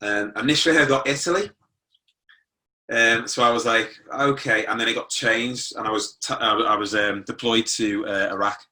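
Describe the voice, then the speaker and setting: A man's voice: medium pitch, steady tone, normal speed, pushed and bright, recorded from a distance. A British man in his forties telling his own life story informally as an interview guest. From born in Germany, moved to the UK at nine. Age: 40s. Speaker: interview guest, motivational speaker.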